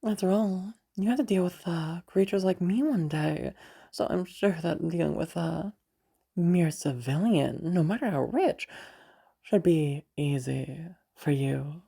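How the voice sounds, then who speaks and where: Villainess girlfriend, acted by a woman, intimate audio roleplay: menacing yet playful, teasing drawl, low with vocal fry. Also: animated, measured pace, mellow, heavily acted. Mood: smug, amused. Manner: teasing drawl, sweary, amused.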